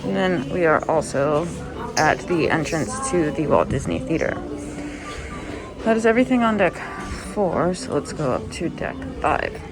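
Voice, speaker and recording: A woman's voice: low-pitched, animated, a little slow, music in the background, rough recording. A woman walking through a cruise ship, filming and chatting as she points out each spot. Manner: chatty guide pointing things out. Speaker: vlogger giving a ship tour. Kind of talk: walking tour narration.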